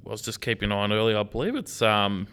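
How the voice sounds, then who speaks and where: This is a man co-hosting a sports podcast. His voice sits fairly low but comes across bright and forceful. He is naturally loud, upbeat and quick to agree. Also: big pitch swings, clean tone. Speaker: podcast co-host, journalist. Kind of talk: casual sports podcast chat.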